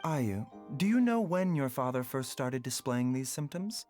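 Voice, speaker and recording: A man voicing a calm, composed male doctor who speaks in a measured, polite, clinical way. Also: mid-range voice, faint music behind. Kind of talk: game voice acting.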